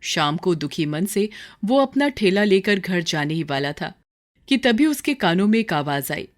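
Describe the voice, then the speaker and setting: A woman's voice: fairly low pitch, very animated, measured pace. A woman storyteller reading scripted dramatic narration for a moral story.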